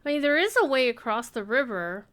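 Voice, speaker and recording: A woman's voice: sits high, big pitch swings, a little fast, fairly bright tone, clean tone. A woman streaming a game and chatting casually, thinking aloud and sometimes reading the quest text. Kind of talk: casual gameplay commentary. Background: faint game music.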